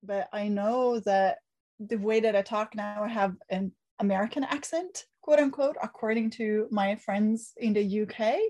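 A woman's voice: medium pitch, unhurried, mellow. Reflective woman professor from Italy. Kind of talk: reflective interview talk.

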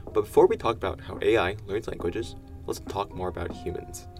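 Man, low and gravelly, quick scripted explainer read, music underneath. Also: darkish tone.

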